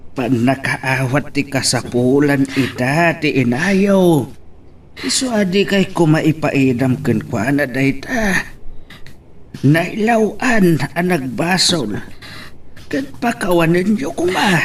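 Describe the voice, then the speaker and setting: Man acting a slow, imploring old grandfather. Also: on the high side, big pitch swings, slight background noise. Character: gentle old grandfather urging his family to forgive. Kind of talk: Ilocano radio-style drama acting. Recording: distant microphone.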